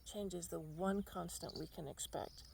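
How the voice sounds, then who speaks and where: Low, gravelly-voiced woman musing outdoors. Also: steady tone, darkish tone, slight background noise. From Argentina. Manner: thoughtful, unhurried, reflective. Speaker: podcast host. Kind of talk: reflective personal monologue. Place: outdoors on a bench.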